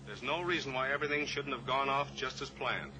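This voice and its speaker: An actor playing a calm, assured rancher-pilot in a 1950s radio drama, steady and fatherly in manner. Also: medium pitch, brisk, lightly acted, low-quality recording. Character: steady heroic rancher-pilot, adult man. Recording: old radio recording with music.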